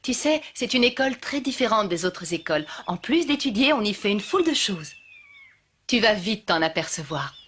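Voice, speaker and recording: A woman playing a kind but firm schoolmistress in a French-dubbed cartoon, speaking in a measured, instructive way. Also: medium pitch, sing-song, fairly bright tone, slight background noise. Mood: encouraging. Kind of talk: French animated series dubbing. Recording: faint music behind the voice.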